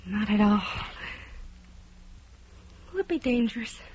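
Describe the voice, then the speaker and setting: An actress playing a seductive, eager younger mistress in a mid-century radio drama, sultry and coaxing. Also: female voice, medium pitch, animated, takes it slowly, a little mellow, husky. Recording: dull old radio recording.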